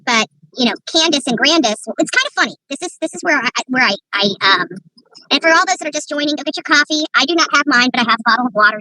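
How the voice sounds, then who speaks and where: Woman livestreamer with a bright, sharp tone, rambling and indignant, talking to her viewers through a phone. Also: high-pitched, dry recording, rough recording. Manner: opinionated, rambling, rising to indignant rhetorical questions. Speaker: online commentator. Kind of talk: livestream commentary.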